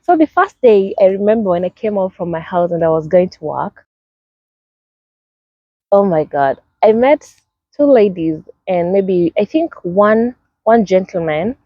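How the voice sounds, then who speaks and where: A young Kenyan woman with a Kenyan accent, telling personal stories to camera in a casual, animated way and acting out the greetings. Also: mid-range voice, measured pace, no echo. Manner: animated, acts out greetings in a lively sing-song way. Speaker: vlogger. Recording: dry, slightly dull sound.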